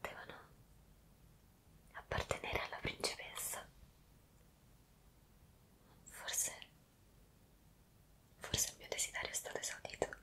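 A woman's voice: takes it slowly, long pauses, bright, forceful tone. A young woman playing a bewildered time traveller in a whispered ASMR roleplay, hesitant and full of wonder, close to the microphone. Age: young adult. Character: bewildered young woman magically transported to the past.

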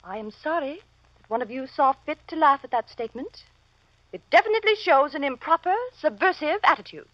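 An actress playing an officious, self-important office supervisor with formal, speech-making delivery in a vintage radio comedy. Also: female voice, high voice, expressive, a little fast, no echo. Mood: indignant. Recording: muffled, lo-fi old radio recording.

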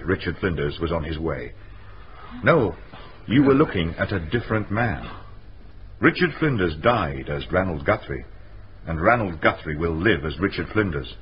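An actor playing a shrewd, composed male inspector in a radio mystery, formal and measured as he explains. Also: low-pitched. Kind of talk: radio mystery drama acting. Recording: muffled radio recording, faint noise.

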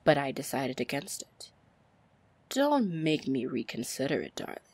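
A woman playing a seductive, commanding female pirate lord in an ASMR fantasy roleplay, with a teasing manner and breathy near-whispers. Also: very deep voice, big pitch swings, moderate pace, darkish tone, no room sound, exaggerated voice. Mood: threatening. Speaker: roleplay audio performer.

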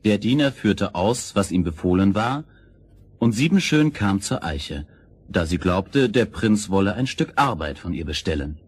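A man's voice: fairly low pitch, lively intonation, a little slow, breathy edge. A man narrating fairy tales for children as a scripted storyteller.

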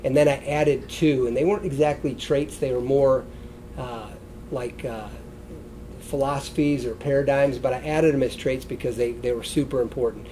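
Congested, mellow middle-aged American man. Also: mid-range voice, steady tone, normal speed, low-quality recording. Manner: conversational, loosely structured. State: congested, sniffly. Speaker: chiropractor giving a wellness talk. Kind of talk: informal seated lecture. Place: indoor stage beside a wooden lectern, seated.

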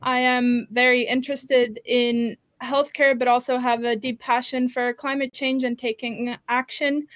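A woman's voice: a little high, little pitch movement, unhurried, few pauses, fairly bright tone, dry recording, clean studio sound. A young woman from Switzerland, a native Swiss German speaker, introducing herself as a panelist on a video call. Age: young adult. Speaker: student panelist. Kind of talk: self-introduction on a live panel. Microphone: computer video call.

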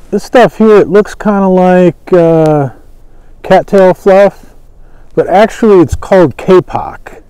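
A man in his thirties giving a casual, unhurried, folksy show-and-tell as an instructor. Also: a little high, mellow, rough recording. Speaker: bushcraft instructor and presenter. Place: outdoors at a table on a lawn by woods.

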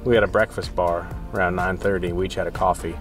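A man's voice: sits low, fairly even intonation, fast talker, music in the background. Man, thirties, travel vlogger, casual chat, car interior, camera at arm's length. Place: inside a parked car.

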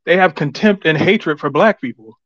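A man's voice: on the high side, normal speed, fairly bright tone, no room sound, dull audio. A man from Georgia calling into a live audio room with an earnest question, over a phone. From Georgia, USA. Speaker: caller.

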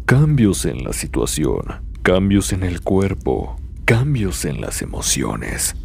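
A man's voice: deep voice, huge pitch swings, slow pace, vocal fry, slight background noise, exaggerated voice. A man playing a cold, imperious demon lord in a Latin American Spanish anime fan dub, speaking with disdain. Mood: cold contempt.

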